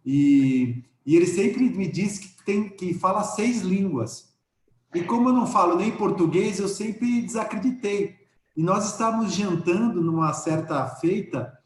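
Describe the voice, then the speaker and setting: A man's voice: high voice, a little slow, echoey room. A man speaking as a guest law professor in a live academic talk, friendly and joking, with hesitant fillers. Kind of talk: casual academic chat. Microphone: video call.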